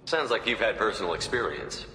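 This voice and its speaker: A man's voice: lowish voice, expressive, brisk, background noise, lightly acted. An actor playing a tough, no-nonsense soldier hero in a video game, cool and terse in his questioning. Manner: terse, probing. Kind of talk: video game character acting. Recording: echoey hall reverb, music behind.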